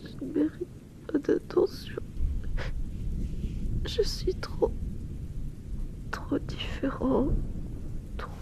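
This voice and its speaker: A woman playing a shy, self-loathing young male outcast in a hesitant, breathy whispered ASMR roleplay. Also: high voice, full character voice, poor audio. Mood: bewildered, tearful. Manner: hesitant, apologetic, halting.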